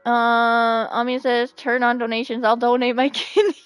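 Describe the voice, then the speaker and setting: A woman chatting casually as she livestreams a game, with a playful, expressive way of talking that readily turns to pleading and exclaiming. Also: fairly high pitch, normal speed, clear, resonant tone, no room sound, low-quality recording. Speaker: game streamer. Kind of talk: casual livestream chat over gameplay. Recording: music behind the voice. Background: music.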